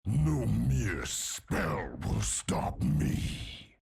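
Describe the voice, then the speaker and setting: An actor playing a hulking, bloodthirsty undead warlord from a video game, with a guttural, raspy voice and a grim, menacing delivery. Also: male voice, on the low side, huge pitch swings, very slow, a little mellow, heavily acted. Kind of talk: video game character voice lines.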